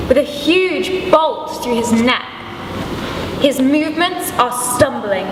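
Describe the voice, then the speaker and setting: A young woman giving a deliberate, theatrical scripted narration, recorded from a distance in a noisy, echoey hall. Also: high voice. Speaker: pupil narrator. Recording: distant, echoey hall with loud background noise.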